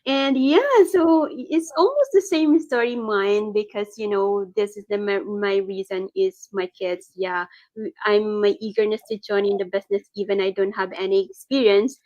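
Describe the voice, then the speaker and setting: A woman's voice: mid-range voice, moderate pace, a little mellow, no room sound. Hesitant woman host, dull dry recording. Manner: non-native phrasing, hesitant, many fillers. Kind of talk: casual interview chat.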